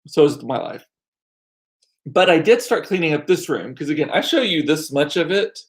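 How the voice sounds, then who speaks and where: A middle-aged American man chatting casually as a livestream host, rambling along with self-deprecating asides and animated, repeated words for emphasis. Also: a little high, moderate pace. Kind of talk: casual chat to live viewers. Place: small home room used as studio.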